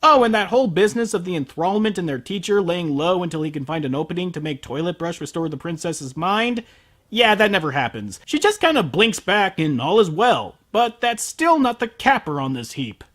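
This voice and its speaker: A man's voice: high voice, big pitch swings, fairly quick, fairly bright tone. A man narrating a sarcastic, profane anime review, ranting about what he watched.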